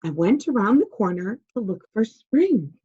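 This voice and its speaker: A woman voicing a friendly frog who calmly and patiently tells a story of his childhood. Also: mid-range voice, normal speed, breathy edge, lo-fi sound. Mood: reminiscing.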